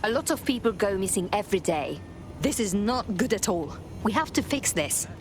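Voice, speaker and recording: An actress playing a serious, purposeful resistance operative in a video game, speaking tersely. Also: female voice, medium pitch, sing-song, normal speed, background noise, lightly acted. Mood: concerned.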